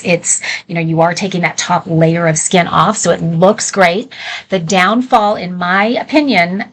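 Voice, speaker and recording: A woman's voice: mid-range voice, low-quality recording. Chatty woman hosting live shopping. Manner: chatty, candid, conversational asides. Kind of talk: informal live product demonstration.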